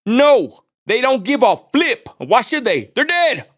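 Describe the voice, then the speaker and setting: A man's voice: very high voice, big pitch swings, takes it slowly, a bit bright. Blunt, profane West Texas man ranting. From Texas, USA. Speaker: livestream host. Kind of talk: casual ranting livestream commentary.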